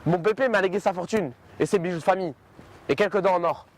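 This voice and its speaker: A man's voice: high voice, fast talker, a little hiss or hum, natural voice. A man playing a desperate, broke man who pleads and argues about money on the phone. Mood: exasperated. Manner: pleading and exasperated.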